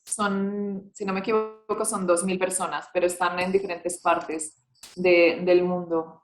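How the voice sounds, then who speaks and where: A woman speaking as a guest expert on hotel data, answering webinar questions over a video call in a measured, careful way. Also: medium pitch. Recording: echoey room.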